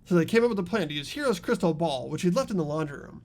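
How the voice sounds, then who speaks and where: A man voicing an earnest high school boy in a mystery game, explaining a case in a deliberate, dramatic way. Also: expressive, a little mellow. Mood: grave, determined. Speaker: amateur voice actor in a game playthrough.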